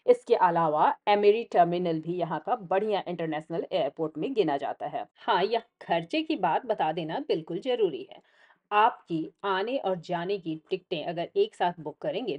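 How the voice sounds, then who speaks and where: A woman's voice: fairly low pitch, expressive, normal speed, a little mellow. A woman with an Indian accent reads scripted travel vlog narration, explaining things directly to the viewer.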